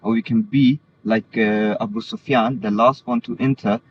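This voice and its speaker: A man's voice: on the low side, fairly even intonation, normal speed. A man born in Denmark, earnestly explaining his beliefs in a casual conversation, often restarting his sentences. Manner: earnest, hesitant with many restarts. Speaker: interviewee. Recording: slightly dull recording with faint noise.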